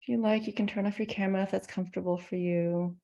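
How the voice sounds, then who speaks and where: Gentle, mellow woman guiding meditation. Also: mid-range voice, fairly even intonation, dull audio. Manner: gentle, soothing, with unhurried pauses between phrases. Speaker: meditation teacher. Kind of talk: guided meditation.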